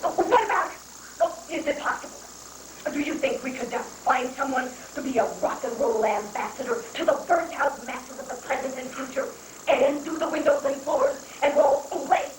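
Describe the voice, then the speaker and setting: A woman's voice: sits high, normal speed, lightly acted. A woman playing a folksy, vain male American president in an amateur satirical stage reading, rambling and theatrical. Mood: wishful, singsong. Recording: echoey, noisy live recording from far off.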